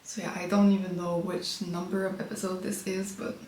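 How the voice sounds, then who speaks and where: A woman's voice: lowish voice, fairly quick. A woman with a slightly stuffy, congested voice talks in a loose, chatty way, showing off her knitting. Her voice rings around an echoey room. A faint hiss of background noise sits behind her. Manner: relaxed and chatty, with frequent fillers. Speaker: knitting podcast host. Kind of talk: casual chat showing knitting. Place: her apartment.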